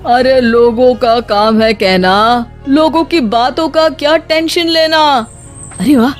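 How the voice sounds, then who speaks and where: A woman's voice: sits high, slow pace. A woman voicing an easygoing, dismissive husband in casual dialogue for a cartoon moral story. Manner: breezy, colloquial, brushing off complaints. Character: easygoing, dismissive married adult son. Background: background music.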